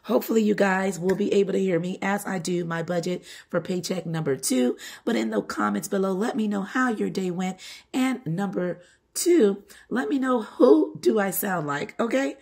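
A middle-aged woman with a groggy, hoarse voice from being sick, chatting casually as she hosts her home budgeting vlog. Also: mid-range voice, normal speed, darkish tone. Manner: chatty, repeats "all right" and "okay". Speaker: budgeting channel host. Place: home, table-top filming.

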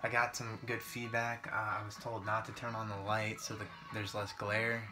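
A man's voice: fairly low pitch, little pitch movement, normal speed. A young man doing casual gameplay commentary in a hesitant, rambling, informal way. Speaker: gaming video creator. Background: guitar music.

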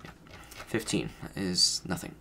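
A deep-voiced man talks through his game at a desk mic, thinking aloud and stumbling over restarts as he reasons. He sounds a little under the weather, and faint keyboard typing and a low room hiss sit behind him. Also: very animated, slow pace, gravelly, bright, forceful tone. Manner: rambling, self-correcting, thinking aloud while guessing. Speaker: gaming streamer. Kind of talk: casual play-by-play commentary.

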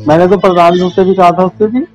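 A man's voice: sits high, steady tone, fast talker. A man with a western Uttar Pradesh accent arguing informally on a recorded phone call, insistent, questioning and repeating his points. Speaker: police sub-inspector on a phone call. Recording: leaked phone call recording, music behind.

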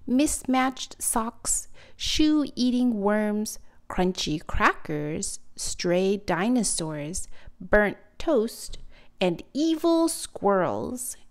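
A woman narrating a picture book for young children with deliberate, dramatic emphasis. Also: medium pitch, a little mellow. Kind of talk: expressive read-aloud for young children.

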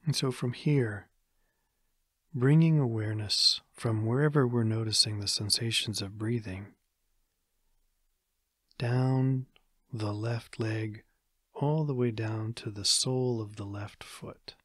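An American man guides a meditation in a calm, measured manner with long pauses. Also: lowish voice, dry recording, studio quality. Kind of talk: guided body scan meditation.

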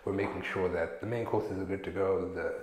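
A young man from Zimbabwe talks through his kitchen career in a relaxed, slangy interview. His voice sits low and deep. A lapel mic picks him up in a small studio that echoes. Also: a little fast, a crowd in the background. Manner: relaxed, slangy, acts out coworkers' lines. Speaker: chef, interview guest. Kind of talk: casual interview talk. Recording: echoey room.

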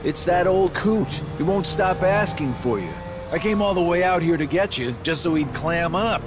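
A man's voice: sits high, expressive, normal speed, over music. An actor playing a cocky henchman villain in a game cutscene, sneering and casually taunting. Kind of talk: animated game cutscene dialogue.

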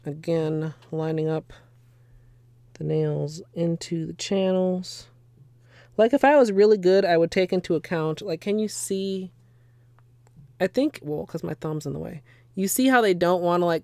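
A hoarse young woman chatting casually through a nail art tutorial at her desk at home, rambling with lots of fillers. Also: low voice, a little mellow, dry recording. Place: small home room with space heater.

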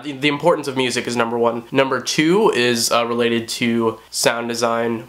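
A young man with a hoarse voice, talking casually to the camera in a small bedroom, rambling with many fillers. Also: mid-range voice, a bit bright. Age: young adult. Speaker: vlogger. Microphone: camera on tripod at close range.

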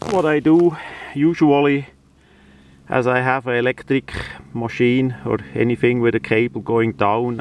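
A non-native English-speaking man giving a casual hands-on demonstration outdoors, halting often mid-phrase. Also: mid-range voice. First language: not English. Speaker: presenter of a DIY radio antenna video. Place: outdoors in windy weather. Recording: outdoor camera audio with faint noise.

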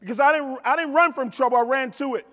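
A man's voice: very high voice, fast talker, a bit bright. A middle-aged American man giving a motivational keynote, telling stories emphatically, repeating phrases and addressing his listeners directly. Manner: emphatic, with repetition for effect and direct address to the audience. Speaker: keynote speaker. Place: on stage in a large convention hall. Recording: reverberant large hall PA sound.

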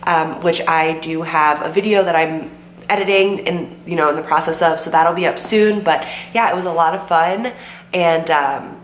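A woman's voice: sits low, normal speed. Sick young woman telling a chatty story. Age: 20s. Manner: chatty, lots of "like" and "um", quotes herself. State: sick with a sore throat, losing her voice. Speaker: vlogger. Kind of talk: casual storytime vlog. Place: bedroom with bookshelves, indoors. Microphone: camera in front at desk distance. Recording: roomy with faint hum.

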